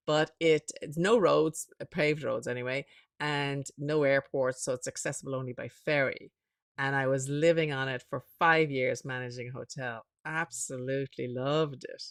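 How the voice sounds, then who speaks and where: A woman from Ireland with a softened Irish accent, a guest telling lively stories in a casual interview. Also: very deep voice, dry recording, clean studio sound. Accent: Irish, softened by years in America. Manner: lively storyteller, chatty, laughs often. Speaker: author and guest.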